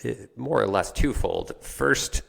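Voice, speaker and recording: A man's voice: mid-range voice, expressive, slow, gravelly. A man talking through an explanation in a conversational way, like a guest on a business podcast.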